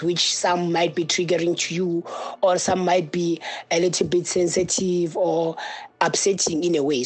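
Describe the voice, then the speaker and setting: A South African woman, a peer mentor, explaining her group work in a practical way. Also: lowish voice. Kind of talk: interview answer.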